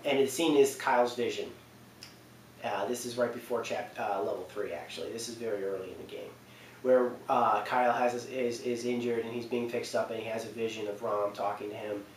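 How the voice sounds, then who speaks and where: A man giving casual, enthusiastic but hesitant gaming commentary, full of fillers and restarts. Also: on the low side. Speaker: gaming video creator. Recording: roomy distant mic with faint hiss.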